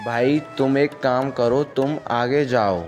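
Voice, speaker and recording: Male narrator as reserved wayfaring stranger, matter-of-fact, middle pitch, cartoon folk tale, music behind. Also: slow pace, a little mellow, close to an everyday voice. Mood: calm. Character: reserved stranger, travelling man on village road. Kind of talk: animated moral story dialogue.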